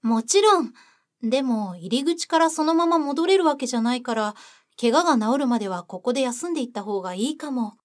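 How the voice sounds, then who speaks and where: A woman voicing a gentle, big-sisterly courtesan yokai with soothing, polite speech in an anime-style voice drama. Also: sits high, animated, a little fast. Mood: gentle, welcoming.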